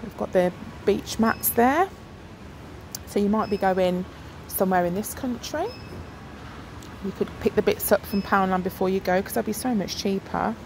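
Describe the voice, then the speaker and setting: A woman's voice: medium pitch, moderate pace. A middle-aged woman chatting casually as she films aisles in a discount shop on her phone, thinking aloud with lots of ums. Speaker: shopping vlogger. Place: inside a large discount shop. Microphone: phone held while filming. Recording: noisy shop ambience.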